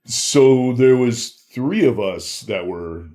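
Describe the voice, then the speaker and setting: A man speaking as an interview guest, telling anecdotes casually, halting and repeating himself for emphasis. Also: medium pitch, lively intonation, mellow. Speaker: interview guest, former bodyguard.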